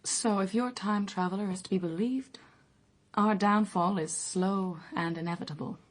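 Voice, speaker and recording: A woman's voice: medium pitch, normal speed, natural voice. An actress playing an earnest, skeptical woman in a radio drama, composed and probing in a formal manner. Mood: troubled. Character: earnest, skeptical woman visitor questioning an old writer.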